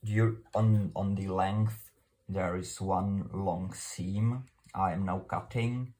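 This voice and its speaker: A man with a Czech accent, a native Czech speaker, presenting an informal unscripted explainer in a halting, hesitant way with formal phrasing. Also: deep voice, steady tone, dark tone. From Czech Republic.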